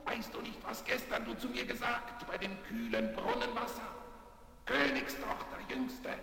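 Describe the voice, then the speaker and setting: A man's voice: very high voice, steady tone, moderate pace, creaky, bright, forceful tone, roomy sound, in a large hall, exaggerated voice. A man playing an insistent talking frog in a 1970s children's audio play, calling out in pleas. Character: pleading, insistent male talking frog from a fairy tale. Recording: vinyl record transfer with music.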